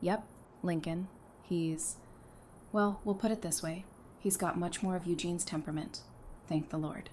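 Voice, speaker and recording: A woman's voice: fairly low pitch, fairly even intonation, a little slow, darkish tone, lightly acted. A woman acting a caring, blunt wife and mother with casual, wry banter in an audio drama. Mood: playful. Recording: noticeable background noise.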